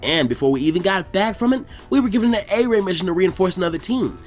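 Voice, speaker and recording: A man's voice: sits high, animated, fast talker, soft background music. A man voicing a flippant, boastful young ninja boy in a story reading. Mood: smug. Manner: casual, cocky. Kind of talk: fan fiction character reading.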